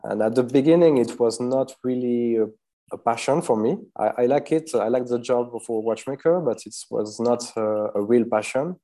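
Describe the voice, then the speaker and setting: A man from Switzerland, answering interview questions as a watchmaker guest. He speaks hesitantly, with many filler pauses and soft laughs. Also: mid-range voice.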